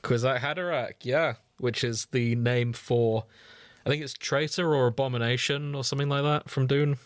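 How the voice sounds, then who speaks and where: A man's voice: medium pitch, fairly even intonation, normal speed, smooth, no echo. Male podcast co-host, droll and understated, casual conversation, close dry recording. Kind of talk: casual chat.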